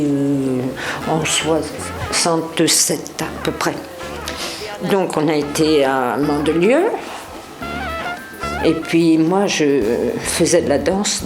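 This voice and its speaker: A woman, a resident, talking in a relaxed, contented way in a documentary interview. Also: low voice. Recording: echoey room.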